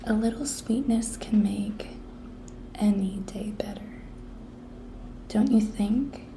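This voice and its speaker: A woman playing a cute, pushy young intern coaxing her boss in an intimate, breathy ASMR whisper, coy and teasing. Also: medium pitch, slow pace, slight background noise. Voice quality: breathy whisper, soft-spoken. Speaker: ASMR roleplay performer.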